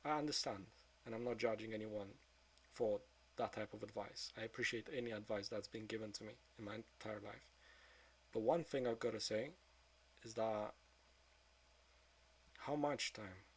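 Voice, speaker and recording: A man of twenty-two speaking in a frank, subdued confessional monologue, swearing often and trailing off into quieter mutters. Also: fairly low pitch, steady tone, normal speed, breathy edge. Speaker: vlogger.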